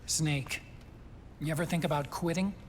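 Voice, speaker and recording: An actor playing an earnest, thoughtful scientist with an American accent, explaining things gently in a video game drama. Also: male voice, on the high side, steady tone, moderate pace, a little hiss or hum, close to an everyday voice.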